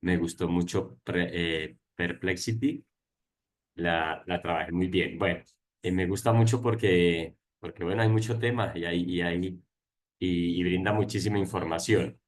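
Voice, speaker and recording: A man's voice: low voice, steady tone, unhurried. A man teaching at a university, talking hesitantly and repeating words during an online class discussion.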